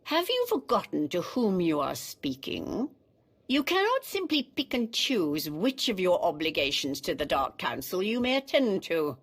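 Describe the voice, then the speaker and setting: A woman's voice: lowish voice, wildly swooping pitch, unhurried, breathy edge, full character voice. A woman playing a haughty, commanding Sith Empress in a scripted video game, speaking formally. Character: imperious Sith Empress demanding answers.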